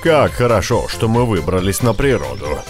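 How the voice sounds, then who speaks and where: Low-voiced daddy pig done by a woman. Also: big pitch swings, moderate pace. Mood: calm, firm. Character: easygoing but firm grown-up father pig. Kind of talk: children's toy-play cartoon dubbing. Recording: loud children's music over the voice.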